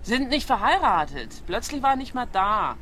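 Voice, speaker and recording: A woman's voice: on the high side, sing-song, moderate pace, a bit bright, slight background noise. A woman playing a distrustful, abrasive squatter from the streets in a radio play, speaking in curt, coarse, combative bursts.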